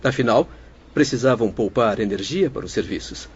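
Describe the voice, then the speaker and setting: A man playing a wise spirit guide in an audio drama, husky and didactic. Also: mid-range voice, lively intonation, moderate pace. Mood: explaining. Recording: dull, muffled audio.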